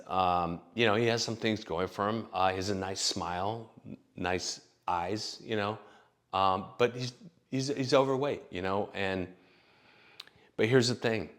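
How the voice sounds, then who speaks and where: A man's voice: sits low, fairly bright tone. A man in his late thirties telling stories in a rambling, profane, casual monologue full of fillers. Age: late 30s. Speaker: vlogger.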